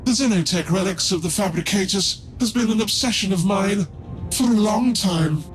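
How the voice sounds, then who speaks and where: An actor playing a scheming heretical tech-priest scholar in a video game, speaking in a formal, theatrical, drawn-out way. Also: male voice, high voice, over music, natural voice. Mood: pleading, evasive.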